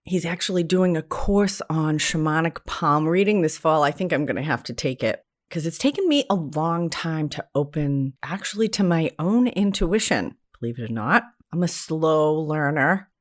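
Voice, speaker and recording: American lady with a low voice doing a chatty solo podcast, candid and kinda self-deprecating. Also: lively intonation, moderate pace, hardly any pauses. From New England, United States. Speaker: podcast host, therapist and spiritual teacher. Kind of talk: conversational solo podcast monologue.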